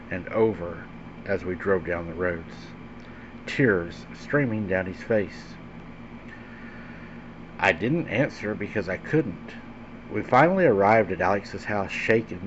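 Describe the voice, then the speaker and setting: Male horror storyteller, lowish pitch, dramatic and deliberate delivery, slightly muffled lo-fi recording. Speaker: host and narrator of a paranormal story show. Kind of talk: dramatic scary-story narration. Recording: dull lo-fi sound with faint background hum.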